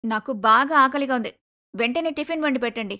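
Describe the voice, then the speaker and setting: A woman playing an arrogant, lazy young daughter-in-law who talks back curtly in an animated moral story. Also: sits high, fast talker. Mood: dismissive. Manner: curt, dismissive, argumentative.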